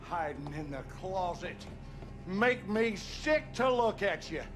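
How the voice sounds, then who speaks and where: A man's voice: high-pitched, expressive, slow, over music. An actor playing an abusive, bitter Southern husband and father, coarse and menacing, in a dramatic video game, with a Southern US accent. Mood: furious contempt. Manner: menacing, coarse, folksy cursing.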